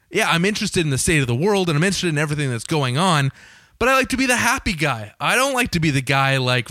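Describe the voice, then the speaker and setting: A young man with a slightly raspy voice, hosting his podcast in a chatty, joking way and swearing casually. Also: fairly high pitch, a bit bright, no room sound, clean studio sound. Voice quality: a little raspy. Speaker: podcast host. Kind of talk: casual solo podcast intro.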